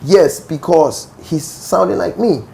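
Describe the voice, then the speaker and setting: A man's voice: fairly high pitch, takes it slowly, gravelly, dark tone, a little hiss or hum. A young Ghanaian man with a Ghanaian accent, a musician answering interview questions assertively, often checking "do you understand?". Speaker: musician guest.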